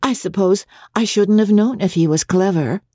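A woman's voice: mid-range voice, normal speed, dark tone, dry recording, clean studio sound, pitched above the performer's own voice, lightly acted. A woman voicing a young society wife, cool, snobbish and naive, in a dramatic audiobook reading. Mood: cool disdain. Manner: cool, genteel, dismissive.

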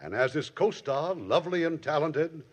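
Formal 1950s radio host, lively. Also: male voice, a little high, moderate pace. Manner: formal, showman-like delivery. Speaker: host of a radio drama series.

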